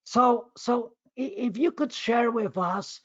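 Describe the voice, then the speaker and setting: Man, Polish accent, husky voice, effusive, halting chat with repeated words, dull close online-call sound. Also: very high voice, no echo. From Poland. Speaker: guest speaker, magazine editor. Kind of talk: warm conversational talk to an online audience. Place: online video meeting.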